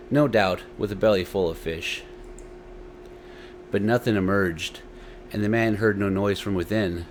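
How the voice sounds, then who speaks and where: A man's voice: on the low side, slight background noise. A man hosting a story series and narrating tales dramatically into a desktop microphone. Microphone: desktop USB microphone.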